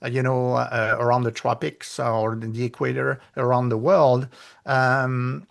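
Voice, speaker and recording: A middle-aged man with a French accent, talking as a guest author in a casual interview, with many pauses and fillers, lively when he retells conversations. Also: medium pitch, vocal fry. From France.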